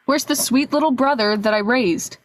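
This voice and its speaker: A young woman acts a worried, bossy older sister in a radio play. Her voice is fairly high and closely recorded, with a scolding edge. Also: normal speed, no echo, natural voice. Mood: upset. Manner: scolding, exasperated. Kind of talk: amateur radio play acting.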